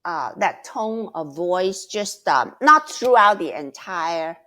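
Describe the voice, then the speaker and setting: A middle-aged woman guest with a loud voice, speaking passionately and emphatically, often restarting her sentences. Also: fairly low pitch, expressive, slow pace. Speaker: guest mentor. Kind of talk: conversational interview.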